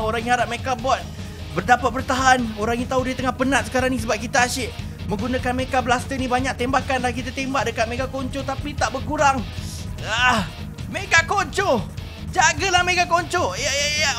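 A Malaysian man hosting a gaming video with excited, animated roleplay commentary, exclaiming and drawing out words. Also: extremely high pitch, music in the background. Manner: animated, exclaiming, drawn-out shouts.

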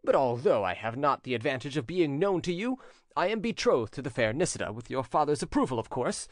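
A man's voice: mid-range voice, big pitch swings, fairly quick, no echo, clean studio sound, natural voice. A man voicing an insolent young prince in a storybook, with an easy, assured, florid way of talking. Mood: insolent ease.

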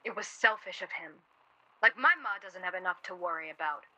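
Woman acting a bright, snippy young wife. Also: on the low side, a little fast, dull audio. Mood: bitter, worried. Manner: snippy, sardonic. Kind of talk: radio play dialogue.

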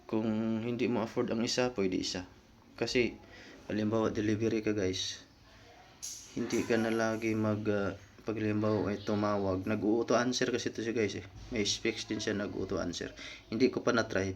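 Low-voiced man explaining casually. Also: a little hiss or hum. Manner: informal, explanatory, often addressing viewers as guys. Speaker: vlogger. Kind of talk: casual explainer vlog talk.

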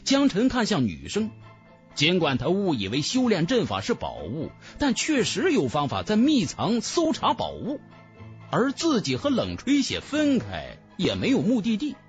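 A man's voice: high-pitched, huge pitch swings, normal speed, soft background music. A man narrating a fantasy audiobook in a dramatic, scripted storytelling style.

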